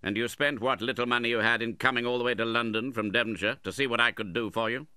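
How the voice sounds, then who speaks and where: A man's voice: low-pitched, lively intonation, normal speed, pushed and bright, clean tone, dry recording, studio quality, exaggerated voice. A man voicing a stern, miserly old uncle in a storybook, contemptuous and impatient. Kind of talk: dramatised classic novel reading.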